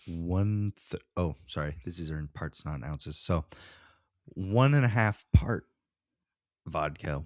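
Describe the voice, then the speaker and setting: A man's voice: deep voice, a little mellow, no echo, clean studio sound. A man co-hosting a podcast in casual, slangy, informal chat. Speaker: podcast co-host.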